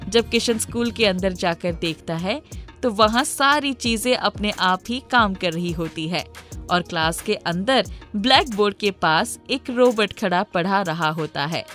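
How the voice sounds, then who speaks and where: A woman narrates a scripted moral tale in the manner of a storybook reader. Her voice sits at a medium pitch, with music playing underneath. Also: lively intonation, unhurried, a bit bright. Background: background music.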